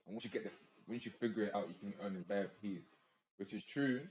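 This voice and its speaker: A man's voice: fairly low pitch, quick pace, a little mellow. A young man, co-hosting a casual podcast chat in slang, stumbling and restarting as he goes. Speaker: podcast co-host. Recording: roomy echo.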